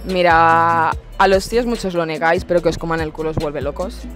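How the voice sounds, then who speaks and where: A woman's voice: deep voice, steady tone, a little fast. Young spanish woman, bold and cheeky, laughs a lot, music in the back. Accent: Spanish from Spain. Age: young adult. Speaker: interviewee. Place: outdoor park at night. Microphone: handheld mic held by interviewer.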